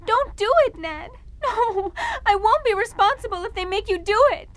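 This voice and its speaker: A woman's voice: very high-pitched, normal speed, fairly bright tone, dry recording. An actress playing a fretful, fearful young noblewoman, pleading and melodramatic, in a suspense audio drama. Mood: distraught pleading. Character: anxious, fearful young noblewoman, niece of a count.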